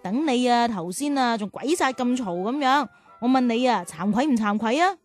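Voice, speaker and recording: A woman playing a caring, gently chiding big-sister teacher who hosts a children's radio drama. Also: on the high side, lively intonation, normal speed, soft background music, lightly acted. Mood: coaxing, playful. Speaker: host and teacher.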